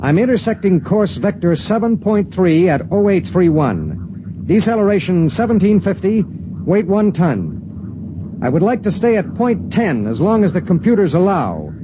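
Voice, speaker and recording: Grave spaceship pilot, terse, measured, fairly high voice, dull old radio play. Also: male voice, big pitch swings, noisy background. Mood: clipped. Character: weary spaceship pilot narrating, male adult. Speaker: narrator and pilot. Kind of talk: 1950s radio drama narration. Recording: dull vintage radio broadcast with music.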